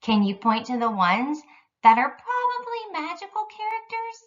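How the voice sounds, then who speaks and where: A woman's voice: very high-pitched, moderate pace, far from the mic, dull audio. A woman elementary school teacher giving an instructional lesson to young children in careful, simple phrasing.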